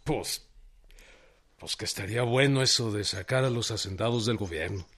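An actor playing a plainspoken, folksy Mexican peasant leader in his thirties, with a rural accent and husky voice, in a radio drama. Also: lowish voice, moderate pace, fairly bright tone. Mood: respectful, willing. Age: 30s.

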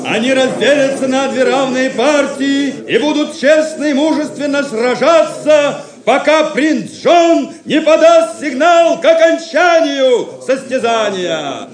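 A very high-pitched male herald from an audio play, solemn and grand. He draws out his proclamation ceremonially in an echoing space, with loud music around him. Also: expressive, measured pace. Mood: solemn proclaiming. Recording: echoey, under loud music.

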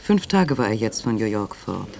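A man's voice: on the low side, very animated, moderate pace, gravelly, darkish tone. A man reading scripted narration for a radio play, on a recording with faint noise.

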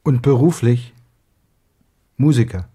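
Gruff husband with a lively, husky voice. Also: male voice, mid-range voice, takes it slowly, exaggerated voice. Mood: curt, sceptical. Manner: blunt, dismissive, sarcastic. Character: gruff, suspicious, self-assured husband, big and handy. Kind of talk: German radio drama acting.

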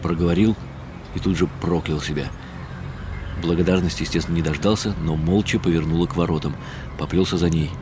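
A man reading a first-person literary story as a radio play, in a measured, wry and bitter tone. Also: deep voice, steady tone, noisy background.

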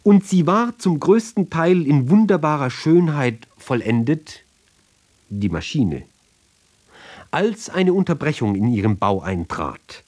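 A man giving a sober, measured literary reading for radio, phrase by phrase with deliberate pauses. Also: a little high, very animated, darkish tone. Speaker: reader of literary ballads.

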